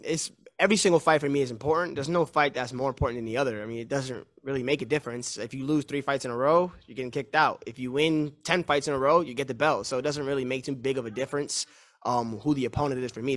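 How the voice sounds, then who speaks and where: A man in his twenties, a fighter answering press questions on a call, confident and repeating himself in fast run-on answers. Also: mid-range voice. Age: 20s. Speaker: mixed martial arts fighter.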